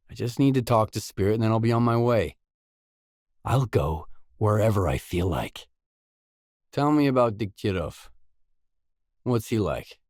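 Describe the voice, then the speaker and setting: A man's voice: on the low side, a little mellow, no room sound, studio quality. A man playing a determined, blunt stalker in a video game, speaking tersely and seriously in matter-of-fact dialogue lines. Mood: firm, probing. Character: determined, blunt male stalker adventurer in a grim zone. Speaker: game protagonist.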